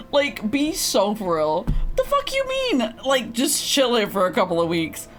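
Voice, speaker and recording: A woman's voice: a little high, huge pitch swings, unhurried. A woman streamer giving blunt, sarcastic, casual commentary on a game. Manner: blunt, sarcastic, swearing, giggly. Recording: faint game music behind.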